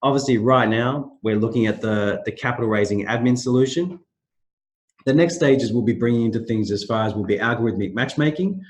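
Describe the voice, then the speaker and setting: A man's voice: medium pitch, brisk. A middle-aged man with a nasal voice from a sore throat, presenting a business webinar in loose, rambling speech full of restarts. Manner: loose, repetitive phrasing with many restarts and fillers. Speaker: company managing director presenting a webinar.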